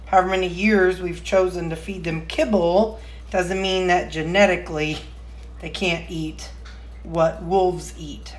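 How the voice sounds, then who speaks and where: A woman vlogging as a home cook, chatting casually in a rambling, folksy way while she demonstrates in her kitchen. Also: on the low side. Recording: roomy, echoey kitchen sound.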